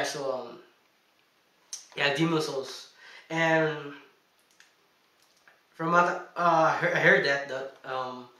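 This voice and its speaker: A man's voice: fairly high pitch, pushed and bright, distant mic. A young man, a Tagalog speaker, chatting emphatically in a casual video game review and laughing between points, recorded indoors. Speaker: gaming vlogger. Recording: echoey room, mic set back.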